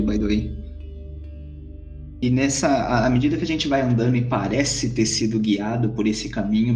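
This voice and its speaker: A man's voice: medium pitch, a little mellow. A Brazilian man playing a tabletop game, telling in the first person what his character thinks and does. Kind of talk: in-character roleplay narration. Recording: faint music behind.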